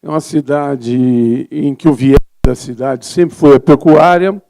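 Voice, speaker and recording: The older Brazilian doctor at a conference lectern, reflecting informally in a mellow, gravelly voice. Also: male voice, mid-range voice, measured pace. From Rio de Janeiro, Brazil. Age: 70s. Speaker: physician speaking as a congress presenter.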